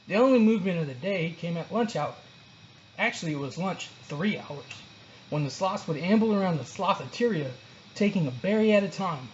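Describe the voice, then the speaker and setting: A storyteller narrating a children's book aloud in an expressive read-along style. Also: mid-range voice, highs cut off, slight background noise.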